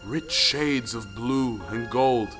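A man's voice: medium pitch, takes it slowly, lightly acted. A man narrating a folktale audio play as a dramatic storyteller. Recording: long reverb, music underneath.

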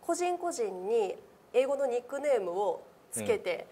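A woman's voice: fairly high pitch, sing-song, measured pace, slightly rough. A middle-aged woman, a radio personality, answering interview questions politely and articulately with music behind her. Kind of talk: polite conversational self-introduction and answers. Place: meeting room indoors. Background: loud background music.

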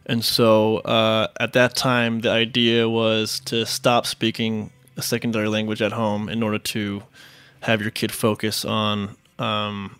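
A man in his thirties from Queens, answering interview questions in a relaxed, halting way with many fillers. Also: lowish voice, clean tone, faint music behind. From Queens, New York. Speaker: restaurant managing partner, guest.

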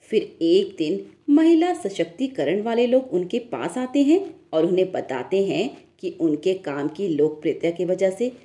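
Woman narrating with lively, swooping pitch. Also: measured pace, hardly any pauses. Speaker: storyteller narrator. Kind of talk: scripted moral story narration.

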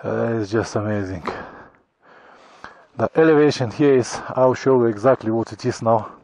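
A middle-aged Bulgarian man talking to his camera on a motorcycle trip, in halting, grateful English full of restarts, recorded outdoors on a helmet or handheld action camera. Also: medium pitch, darkish tone, no echo. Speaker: motorcycle travel vlogger. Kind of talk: casual travel vlog talk to camera. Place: high mountain pass outdoors. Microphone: action camera on helmet or in hand.

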